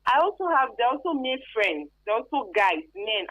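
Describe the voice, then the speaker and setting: A woman's voice: fairly high pitch, sing-song, fast. A woman with a Nigerian accent explaining things emphatically and spontaneously as an interview guest, heard over a thin phone line. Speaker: expert guest on human trafficking. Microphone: phone call.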